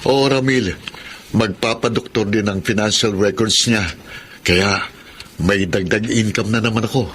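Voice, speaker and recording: An actor playing a cocky, money-minded young accountant in a radio drama, casual and self-assured. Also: male voice, fairly low pitch, steady tone, measured pace, a bit bright, breathy edge. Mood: nonchalant. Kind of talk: Filipino radio drama acting and narration.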